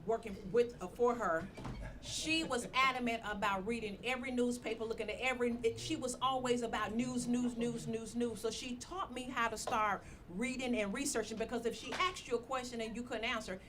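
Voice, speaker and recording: A woman, the show's executive producer, telling stories in a lively, restarting way on a radio roundtable. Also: fairly high pitch, fairly quick, background noise. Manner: animated storyteller, many restarts.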